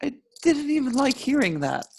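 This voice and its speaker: A woman's voice: high-pitched, big pitch swings, moderate pace, vocal fry, darkish tone, breathy edge, exaggerated voice. A woman playing a wry, sarcastic young stoner man in a film script.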